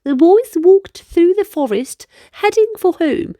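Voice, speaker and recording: Woman, children's storybook reader, high voice, unhurried pace, expressive delivery, dry close sound. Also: no echo. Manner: animated, deliberate storytelling. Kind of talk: expressive read-aloud storytelling.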